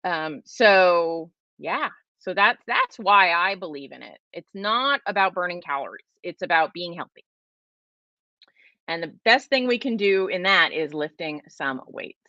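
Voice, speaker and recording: A woman's voice: on the low side, expressive, a little slow, pushed and bright, no room sound, muffled recording. Chatty, emphatic woman in her forties. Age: 40s. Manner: chatty, emphatic, many fillers and restarts. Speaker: host. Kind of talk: casual livestream Q&A.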